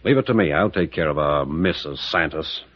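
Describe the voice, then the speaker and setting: A man's voice: extremely low pitch, expressive, a little fast, husky, exaggerated voice. A man playing a tough, decisive captain with a clipped, commanding manner in a 1940s-style radio adventure, on a dull old recording. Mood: resolute, plotting.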